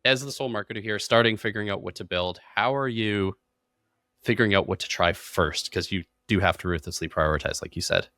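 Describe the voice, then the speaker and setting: A man's voice: on the low side, brisk, bright, forceful tone, no echo. A man hosting a podcast, chatting casually and agreeably in an informal business interview. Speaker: podcast host.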